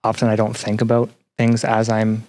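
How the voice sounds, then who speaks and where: A young man answering as a podcast guest in an earnest, conversational way, haltingly repeating phrases as he thinks. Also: fairly low pitch, completely flat delivery, a little mellow. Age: young adult. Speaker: podcast guest, student and teaching assistant.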